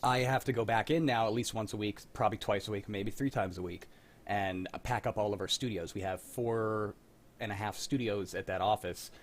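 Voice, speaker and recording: A man's voice: lowish voice, moderate pace. A man in his thirties, hosting and chatting casually on a livestream call, telling stories with laughs. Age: 30s.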